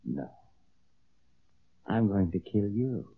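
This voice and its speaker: An actor playing a cold, calculating crime boss in 1940s radio style, with an American accent and a measured, understated menace. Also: male voice, lowish voice, sing-song, slight background noise, exaggerated voice. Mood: quiet menace. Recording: tinny, muffled old radio recording.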